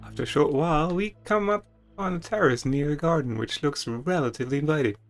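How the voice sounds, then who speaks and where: A man's voice: on the high side, brisk. A man streaming a dramatic, theatrical reading of game text, with casual asides to viewers. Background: music.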